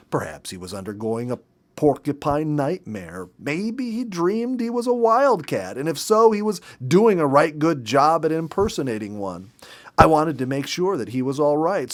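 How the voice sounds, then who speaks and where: A man in his fifties reading a story aloud in an expressive, measured narrator's manner with dramatic emphasis. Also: on the high side. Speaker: storybook reader. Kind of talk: expressive read-aloud narration of a nature memoir.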